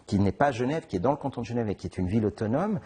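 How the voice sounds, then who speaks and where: A middle-aged man from Switzerland, answering interview questions in a halting way, with many restarts and fillers. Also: mid-range voice, expressive, warm tone, slightly rough. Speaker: interviewed author. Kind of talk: conversational interview.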